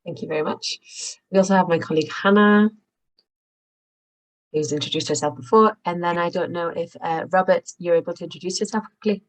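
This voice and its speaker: A woman moderating an online webinar, polite and brisk as she calls on each participant. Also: fairly low pitch, fairly even intonation, rough recording. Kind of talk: hosting introductions. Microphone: video call.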